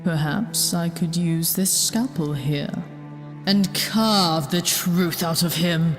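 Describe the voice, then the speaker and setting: A woman's voice: fairly low pitch, slow. An actress playing a cold, sadistic general in an audio drama, menacing and mocking in her delivery. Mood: sadistic menace. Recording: long hall reverb, music bed.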